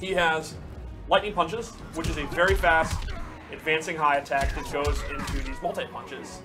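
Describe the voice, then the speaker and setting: Man, slightly high voice, casual gameplay explainer, enthusiastic and instructive, loud music behind. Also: fairly even intonation, moderate pace. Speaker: game developer explaining a fighting game.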